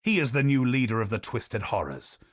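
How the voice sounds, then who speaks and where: A man voicing a calm, cunning male warrior in a video game, in a measured, level voice. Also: medium pitch, dry recording, clean studio sound.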